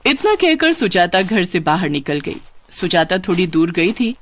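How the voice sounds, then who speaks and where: A woman's voice: lowish voice, big pitch swings, normal speed. A woman narrating a scripted moral cartoon story in a dramatic storytelling style.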